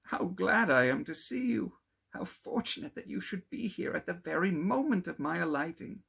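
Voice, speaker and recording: A man's voice: sits high, expressive, moderate pace, creaky, a bit bright, slightly rough, voice pushed up, heavily acted. A man voicing a cheerful, eager young male friend in a storybook, effusive and affectionate. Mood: delighted. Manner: exclamatory, effusive.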